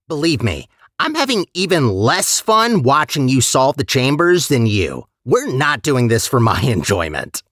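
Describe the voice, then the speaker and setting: A man's voice: medium pitch, a bit bright, no echo. Video game robot companion, acted by a man: talkative, nervy test-chamber guide, swooping intonation, very dry close sound. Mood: apologetic, insistent. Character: chatty, anxious male robot personality core running test chambers. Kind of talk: animated video game voice acting.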